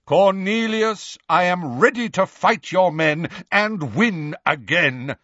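Male narrator as old wizard, magisterial, measured, authoritative, breathy edge, dry close sound, audiobook character. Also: mid-range voice, big pitch swings, a bit bright, dry recording, dull audio. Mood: thunderous.

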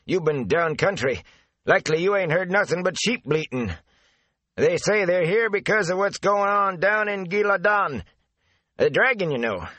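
A man voicing a gossipy, rambling rustic gatekeeper with folksy country speech, high and lively. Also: moderate pace, no echo, exaggerated voice. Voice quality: folksy dialect, rambling and eager.

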